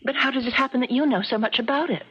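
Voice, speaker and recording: A tender, unsettled American woman visitor. Her voice is extremely husky and breathy, and she asks gently and hesitantly. The sound is a dull vintage radio drama from the 1970s. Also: high-pitched, rapid-fire. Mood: curious.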